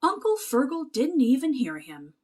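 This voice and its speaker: Animated, high-voiced woman reading a storybook. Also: normal speed. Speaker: children's book read-aloud narrator. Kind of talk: expressive children's storybook narration.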